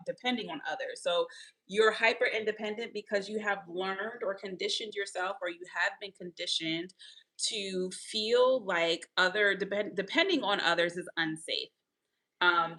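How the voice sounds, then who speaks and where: A woman in her thirties with a slightly nasal voice, hosting a live mindfulness talk. She explains slowly, repeats her definitions, and checks in often with "okay?" and "right?". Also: mid-range voice, sharp tone, poor audio. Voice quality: a little nasal from allergies. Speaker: mindfulness coach and livestream host. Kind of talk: live-streamed mindfulness teaching.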